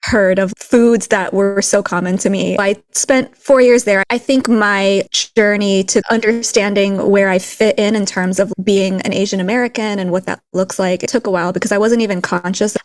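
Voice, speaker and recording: A woman raised in a Texas border town, co-hosting a podcast in a relaxed, reflective way with light laughs. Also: mid-range voice, normal speed, hardly any pauses, dry recording. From Philippines, raised in a Texas border town. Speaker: podcast co-host. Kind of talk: casual conversational podcast chat.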